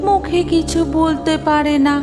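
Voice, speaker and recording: High, slow, singsong woman storyteller. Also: steady tone. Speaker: narrator. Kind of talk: scripted cartoon storytelling. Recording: music under the voice.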